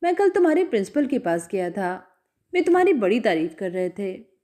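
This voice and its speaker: A woman's voice: medium pitch, very animated, normal speed, close to an everyday voice. A woman doing a proud, mocking old father. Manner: sharp, mocking tone.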